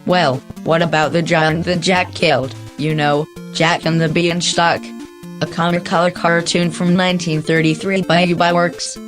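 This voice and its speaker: A woman's voice: lowish voice, little pitch movement, moderate pace, soft background music, close to an everyday voice. A woman playing a friendly, earnest bachelor lawyer in an old-time radio drama, with an amiable manner.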